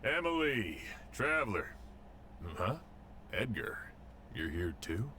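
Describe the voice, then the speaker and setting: Low-voiced, composed sheriff. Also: male voice, sing-song, slow, bright, forceful tone, slight background noise, full character voice. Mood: businesslike. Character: composed male sheriff, authoritative and businesslike. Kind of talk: video game voice acting.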